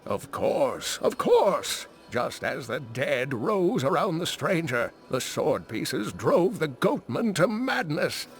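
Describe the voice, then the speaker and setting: An actor playing an earnest old scholar and kindly uncle in a video game, deliberate and theatrical. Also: male voice, fairly high pitch, very animated, full character voice. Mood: grave, earnest. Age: older.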